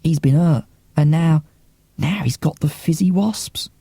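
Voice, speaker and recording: A man's voice: fairly high pitch, measured pace, gravelly, dark tone, husky, no room sound, full character voice. A man voicing an eager, emotional child in an audiobook, speaking urgently and informally. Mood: urgent, pleading. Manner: urgent, informal, wide-eyed.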